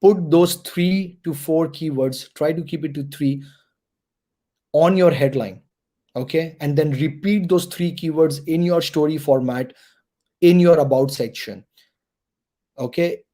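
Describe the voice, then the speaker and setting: A man with a slightly high, mellow voice leads an online career workshop for students. He coaches patiently, repeats his key points and keeps checking in with the audience. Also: measured pace, long pauses. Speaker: mentor presenting a career workshop.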